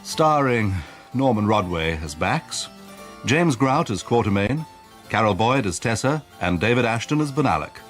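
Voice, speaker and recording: A man's voice: lowish voice, animated, moderate pace, a bit bright. A man giving a scripted introduction as a radio drama announcer. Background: harpsichord music.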